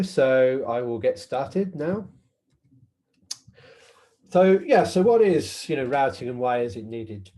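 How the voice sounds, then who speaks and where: A man's voice: on the low side, dark tone. A man giving an informal technical presentation as a workshop presenter, explaining in a hesitant, hedging way.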